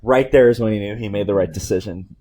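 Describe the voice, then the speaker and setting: A man's voice: on the low side, fast talker, mellow. A man giving casual, informal film commentary as host, talking like an enthusiastic fan.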